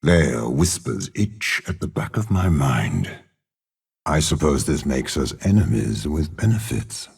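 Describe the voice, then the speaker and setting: Very deep, gravelly demonic warlord. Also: male voice, sing-song, darkish tone, exaggerated voice. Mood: sinister, demonic. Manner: slow, measured, menacing, haughty. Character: ruthless, imperious older male warlord bound to a demon. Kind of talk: dramatic video game character voice lines.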